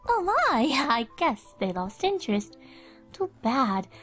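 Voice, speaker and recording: A woman's voice: fairly high pitch, sing-song, slow, fairly bright tone, faint music behind, pitched above the performer's own voice, full character voice. A woman voicing a refined, fussy female unicorn pony socialite in a fan fiction audio reading, with genteel manners. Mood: mildly disappointed.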